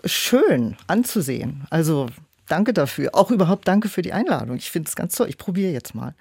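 Woman in her 50s, podcast guest, lowish voice, thinks between phrases, very dry close room. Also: sing-song, moderate pace, gravelly, a little mellow, husky, no echo. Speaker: audiobook producer, podcast guest. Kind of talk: casual interview storytelling.

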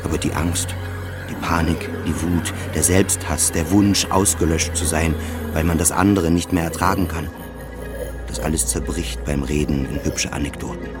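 A man with a very deep voice narrates a literary first-person radio play. His delivery is introspective and falls into short, clipped phrases, with music playing clearly behind him. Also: fairly even intonation, moderate pace.

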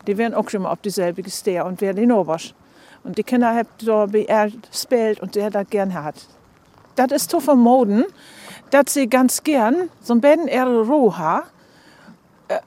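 A woman's voice: fairly high pitch, expressive, normal speed, warm tone. A woman with a Northern German accent, recollecting conversationally as an interviewee.

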